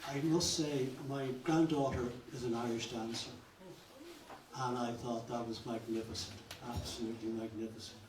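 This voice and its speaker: A man's voice: mid-range voice, steady tone, moderate pace. An older man giving an informal, joking and effusive guest speech at a cultural evening. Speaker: guest speaker. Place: function hall. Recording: roomy hall from a distance, background noise.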